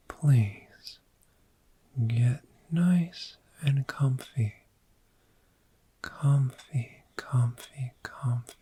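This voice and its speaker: A performer voicing a friendly, soothing dragon in an ASMR roleplay, speaking in a gentle, breathy whisper and lingering on repeated words. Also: mid-range voice, no echo, heavily acted. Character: friendly soothing dragon therapist showing a cozy hoard.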